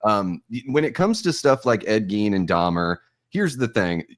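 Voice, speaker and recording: A man's voice: lowish voice, big pitch swings, moderate pace, no echo, clean studio sound. A man co-hosting a podcast, chatting casually and bluntly, with strong opinions and some swearing. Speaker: podcast co-host.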